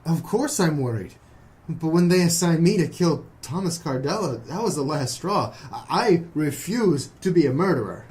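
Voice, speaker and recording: An actor playing an earnest, nervous young man, hesitant and excitable, in a noir audio drama. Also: fairly high pitch, moderate pace, lightly acted. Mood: earnest, distressed. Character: earnest, nervous young male defector from secret brotherhood.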